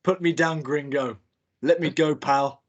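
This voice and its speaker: A man's voice: a little high, steady tone, a little slow, lo-fi sound, a little hiss or hum, voice pushed up. A man voicing a tough male gang member, a scrunched paper ball, who taunts in a swaggering way. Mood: taunting.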